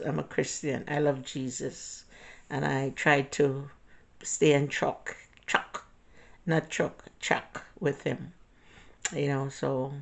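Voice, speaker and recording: An older woman with a Jamaican accent tells stories about her own life in a loose, rambling way, often correcting herself and laughing softly. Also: low voice, creaky. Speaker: interviewee. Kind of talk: casual personal storytelling.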